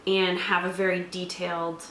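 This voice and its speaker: A woman with a slightly low voice talks casually, like a game master explaining things to a co-host. She is thoughtful and explanatory. The room is noticeably echoey, with faint background noise. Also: little pitch movement, moderate pace, bright, forceful tone. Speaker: co-host reviewing tabletop games, game master.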